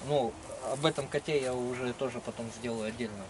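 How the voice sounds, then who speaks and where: A man explaining his craft casually in plain, halting phrases and short answers, recorded outdoors. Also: mid-range voice, steady tone, a crowd in the background. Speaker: wood carver, guest showing his work. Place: outdoors at his garden plot. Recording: faint outdoor background noise.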